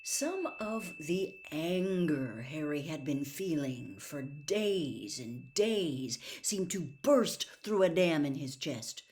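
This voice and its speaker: Woman, audiobook narration, unhurried, expressive, animated pitch, dramatic storytelling. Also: a little hiss or hum. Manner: expressive, deliberate.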